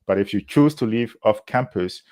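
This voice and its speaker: A man from Burkina Faso, speaking English as a later language, giving measured advice as a university admissions officer, with many "uh" pauses. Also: on the low side. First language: not English. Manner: measured, frequent "uh" fillers, warm laughs. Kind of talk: interview answers, advice to students.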